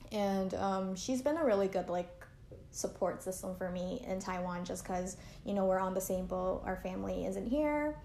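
A young woman from Canada, chatting easily as an interviewee. She talks loosely and leans on fillers and likes. Her voice sits at an ordinary pitch, and the recording has a roomy echo. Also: moderate pace, vocal fry, dark tone, a little hiss or hum. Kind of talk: casual interview chat. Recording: echoey room.

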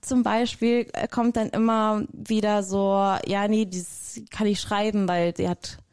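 A woman's voice: mid-range voice, flat delivery, a little slow, darkish tone, clean tone, dry recording. A woman from Germany, a guest in an interview, talking casually and emphatically, often repeating words for stress. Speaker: guest, personnel developer.

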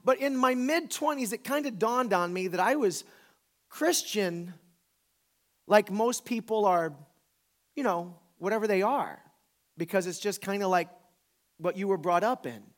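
A man in his forties with a notably high voice delivers a conversational sermon. He is a pastor from the American South who talks informally, leaning on repetition for emphasis. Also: animated, measured pace, long pauses. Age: 40s. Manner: informal, emphatic, repeats phrases for effect.

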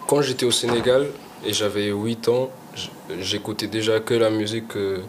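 A man's voice: lowish voice, fairly even intonation, moderate pace, a little hiss or hum. A young man, a rapper guest, chatting casually in slangy talk, often trailing off and restarting his sentences. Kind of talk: casual chat.

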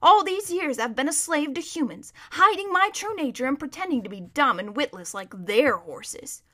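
A woman's voice: high-pitched, sing-song, moderate pace, pushed and bright, pitched above the performer's own voice, full character voice. A woman reading a storybook as a proud, bossy but kindly male war horse, with an emphatic, lecturing manner. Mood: rueful pride. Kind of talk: children's storybook character reading.

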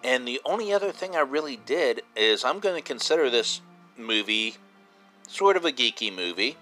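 A man's voice: mid-range voice, very animated, moderate pace, pushed and bright, faint music behind. A man in his fifties hosting a casual solo podcast, chatting like an enthusiastic fan and repeating himself for emphasis. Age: 50s. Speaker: podcast host.

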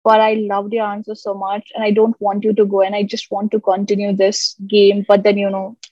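A woman hosting a podcast who speaks warmly and encouragingly, with effusive praise for her guest. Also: medium pitch, monotone, brisk, no echo, lo-fi sound. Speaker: podcast host.

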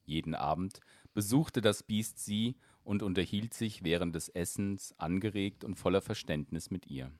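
A man with a very deep voice reads a fairy tale aloud at a calm, measured pace. The sound is dry and close, with no room around it. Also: no echo. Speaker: storyteller.

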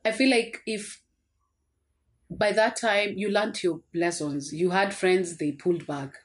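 A woman hosting a casual podcast chat, chatty and full of quick asides. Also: mid-range voice. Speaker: podcast host.

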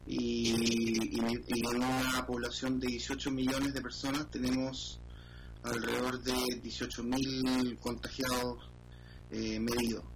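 A man with a Chilean accent, a financial columnist answering interview questions in a measured, hesitant way. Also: medium pitch, flat delivery, noisy background. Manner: measured, hesitant, many fillers.

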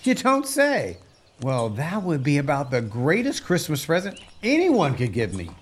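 A man's voice: fairly high pitch, huge pitch swings, measured pace, slight background noise. A man playing an earnest, plain-spoken young rancher in a family radio play, with rueful country phrasing. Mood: hopeful.

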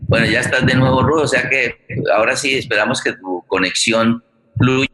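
A man's voice: medium pitch, steady tone, sharp tone. A man hosting a live online forum conversationally, often repeating words as he gathers his thought. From Colombia. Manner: halting, repeats words and phrases. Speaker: forum host. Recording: echoey room over online stream.

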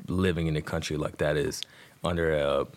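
A man's voice: rumbling low, level tone, brisk. A man in his twenties with an Iranian accent, telling his personal story in a colloquial, conversational way. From Iran. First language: Persian. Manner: colloquial, punctuated with "like" and "you know". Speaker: podcast guest, rapper.

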